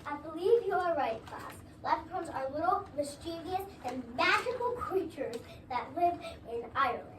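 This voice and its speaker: Woman as a very high-voiced, kindly schoolteacher. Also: animated, moderate pace, few pauses. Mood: explaining. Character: kindly woman first-grade teacher addressing her class. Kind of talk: scripted readers' theater. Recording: echoey room, distant mic, background noise.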